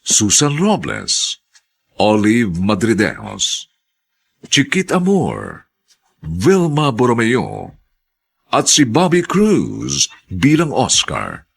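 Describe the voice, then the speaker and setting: A Filipino man announcing a radio drama from a script in a husky, gravelly voice, deliberate and theatrical. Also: mid-range voice, wildly swooping pitch.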